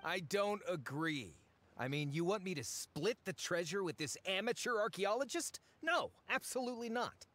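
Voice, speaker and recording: A man's voice: sits high, animated, moderate pace, a bit bright. An actor playing a greedy, pompous, blustering man who sponsors a treasure hunt in an anime game, with a theatrical delivery. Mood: indignant, scornful. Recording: music behind the voice.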